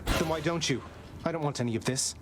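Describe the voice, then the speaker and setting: A man's voice: on the high side, brisk, noisy background, close to an everyday voice. A man voicing a sarcastic, defiant young prince in a video game. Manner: sarcastic, dry, exasperated. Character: defiant young male prince, sarcastic, weary of his father.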